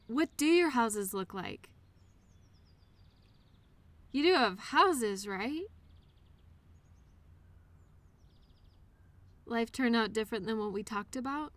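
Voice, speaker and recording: A woman playing an innocent, childlike imaginary friend in an intimate audio drama, speaking earnestly and eagerly with wistful, hesitant pauses. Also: a little high, fairly bright tone, no echo. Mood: hopeful, reassuring. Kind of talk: intimate scripted audio roleplay monologue addressed to listener.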